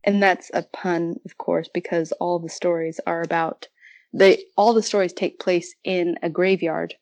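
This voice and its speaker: Woman with a lowish voice talking books on a podcast, chatty and keen, really dry close sound. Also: fairly even intonation, a little slow, a little mellow, no room sound. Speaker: podcast guest. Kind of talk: casual literary discussion.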